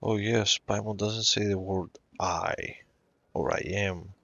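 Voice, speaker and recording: A man's voice: lowish voice, steady tone, takes it slowly, gravelly, fairly bright tone. A man giving casual playthrough commentary, reacting to a game as he goes. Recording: dull lo-fi mic, game music behind. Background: faint game music.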